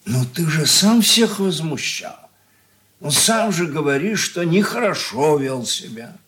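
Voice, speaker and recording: An older actor playing a stern, bewildered old father in a radio drama, with a weighty, halting manner. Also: a little high, lively intonation, a bit bright. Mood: reproachful. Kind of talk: Soviet radio play acting.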